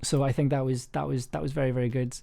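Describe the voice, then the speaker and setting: A man's voice: mid-range voice, little pitch movement, fast, vocal fry, warm tone. Hesitant, encouraging man hosting a script session. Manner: hesitant, searching for words. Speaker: host and scriptwriter. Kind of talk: casual chat giving script notes.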